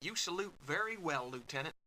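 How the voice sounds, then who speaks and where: An actor playing a wry, curious cowboy adventurer in an adventure game. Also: male voice, fairly high pitch, expressive, fairly quick, sharp tone. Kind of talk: 1990s adventure game voice acting.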